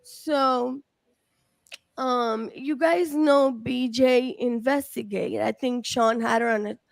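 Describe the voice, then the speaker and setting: A woman from the Dominican Republic on a streamed panel, talking casually and haltingly with many fillers and restarts. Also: on the high side, steady tone, creaky, dry recording. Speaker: research team member.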